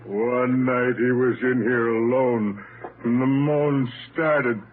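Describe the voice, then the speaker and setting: A man's voice: mid-range voice, fairly even intonation, slow, lightly acted. An actor playing a superstitious, folksy mountain man with a rural American accent in a 1930s radio drama. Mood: ominous, folksy. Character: rustic, superstitious mountain man living alone in the hills. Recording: scratchy, tinny 1939 radio broadcast.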